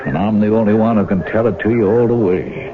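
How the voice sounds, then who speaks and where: A man's voice: lowish voice, fairly quick, noisy background, close to an everyday voice. Man acting a menacing farm brother. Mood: grim, insistent. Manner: folksy, insistent, brooding. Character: menacing, obsessive older farm brother, secretly murderous. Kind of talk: 1970s radio drama acting. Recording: muffled 1970s radio broadcast, music behind.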